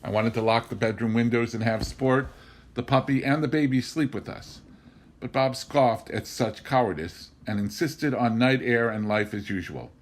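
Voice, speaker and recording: A man's voice: medium pitch, normal speed. Man reading a novel aloud. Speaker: reader of an audiobook. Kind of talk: expressive scripted storytelling, reading a memoir novel aloud.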